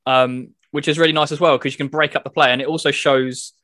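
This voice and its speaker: A man's voice: fairly high pitch, steady tone, quick pace, sharp tone, dry recording. Man casually explaining things, dry sound. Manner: explanatory, step-by-step. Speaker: podcast co-host reviewing a video game. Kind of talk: casual chat.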